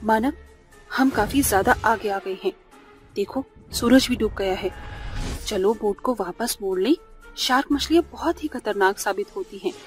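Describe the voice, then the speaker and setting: A woman's voice: medium pitch, moderate pace, faint music behind, lightly acted. A woman voicing a romantic, anxious young newlywed wife in a cartoon. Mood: worried. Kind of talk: Hindi cartoon dialogue.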